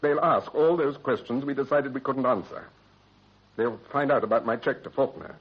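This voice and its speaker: An actor playing an anxious, guilt-ridden British husband in a period radio drama, speaking in a clipped, grave and troubled way. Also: male voice, medium pitch, normal speed, breathy edge, lightly acted. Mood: desperate, agitated. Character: anxious guilt-ridden husband hiding a body, adult man. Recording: dull, band-limited vintage radio recording.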